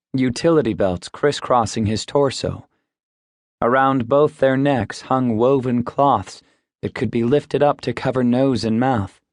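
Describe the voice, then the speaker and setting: A man narrating scripted fiction as an audiobook, in a measured storytelling manner. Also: mid-range voice, a little mellow, no room sound, clean studio sound. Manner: measured, descriptive storytelling delivery.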